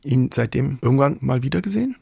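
A man's voice: medium pitch, animated, fast talker, creaky, mellow, slightly rough, no echo, studio quality. A man hosting a conversational cultural interview on the radio.

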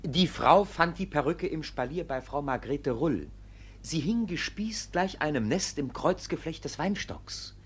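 An actor playing an officious court clerk reporting evidence in a classic comedy radio play. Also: male voice, on the high side, lively intonation, unhurried, breathy edge, full character voice.

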